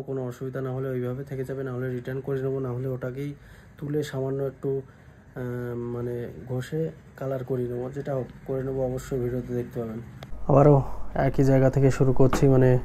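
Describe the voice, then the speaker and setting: A man giving casual unboxing commentary for a vlog, halting as he thinks aloud. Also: mid-range voice, mellow. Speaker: vlogger. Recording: noisy vlog recording with background ambience.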